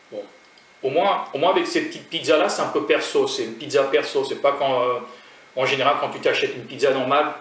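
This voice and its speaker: A man from France with a French accent chats loosely with his viewers, his thoughts drifting from one to the next as he tastes his food. His pitch sits in the middle of the usual range for a man. The mic is set back from him, so his voice comes through roomy and echoey. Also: a bit bright. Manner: chatty and rambling, talking straight to viewers. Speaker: food vlogger. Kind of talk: casual food tasting vlog. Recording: roomy sound from a distant mic.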